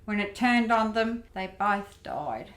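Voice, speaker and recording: A woman's voice: mid-range voice, lively intonation, slow, a bit bright. A woman playing a candid, streetwise young female grifter in a radio play, telling things plainly. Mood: matter-of-fact, wistful. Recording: roomy, echoey amateur recording.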